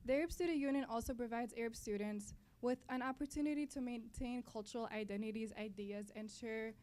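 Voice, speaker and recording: Young woman, Palestinian-born, native Arabic speaker, slightly high voice. Student club speaker addressing an event, a little hesitant. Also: fairly even intonation, brisk, a little mellow, clean tone. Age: young adult. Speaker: student club board member giving introduction. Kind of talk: welcome speech to an audience. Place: college library event.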